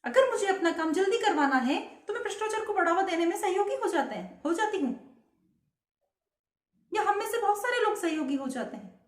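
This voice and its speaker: High-voiced woman giving an earnest talk. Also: animated, brisk, roomy sound. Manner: earnest, rhetorical questions. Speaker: vlogger. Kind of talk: patriotic speech to camera.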